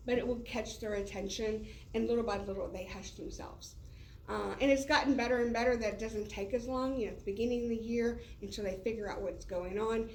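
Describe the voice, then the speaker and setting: A woman's voice: mid-range voice, a little mellow, slight background noise. A woman teacher talking casually to colleagues in a meeting room, loose and chatty with many fillers. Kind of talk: casual professional development talk. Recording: roomy echo, heard from a distance.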